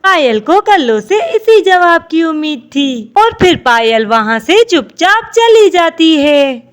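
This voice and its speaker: A woman's voice: extremely high pitch, very animated, slow pace. A woman narrating a scripted children's story.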